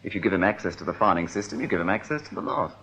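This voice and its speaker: An actor playing an irritable, evasive bank office boss in a radio drama, with a sardonic, testy manner. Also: male voice, low-pitched, lively intonation, rapid-fire, fairly bright tone. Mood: worried, complaining. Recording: dull, noisy old radio recording.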